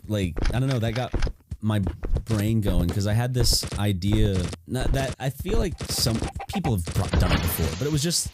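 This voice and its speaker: A man's voice: lowish voice, lively intonation, moderate pace, background noise. A man co-hosting a casual podcast, chatty and teasing. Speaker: podcast co-host.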